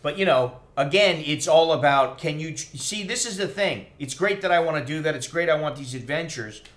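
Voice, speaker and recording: A man's voice: on the high side, animated, fairly quick, a bit bright. A middle-aged American man, a little tipsy, rambling casually to a livestream in a blunt, profane, opinionated way. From United States. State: tipsy after a few drinks. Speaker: expat vlogger.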